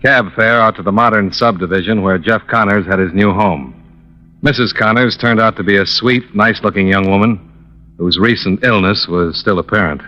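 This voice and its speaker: A man's voice: lowish voice, normal speed, natural voice. An actor playing a cool, probing insurance investigator who narrates his case in a clipped, businesslike old-time radio detective style. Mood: matter-of-fact. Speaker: lead character and narrator. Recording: dull, band-limited vintage radio recording.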